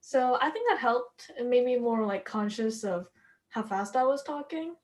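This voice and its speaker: Young woman host, native Korean speaker, slightly high voice, chatty with a light stutter and easy laughs. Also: animated, normal speed. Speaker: podcast host. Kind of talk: casual conversation.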